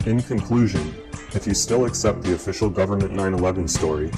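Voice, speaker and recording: A man reading scripted documentary narration in a measured, formal way, as the narrator. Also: sits low. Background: guitar music.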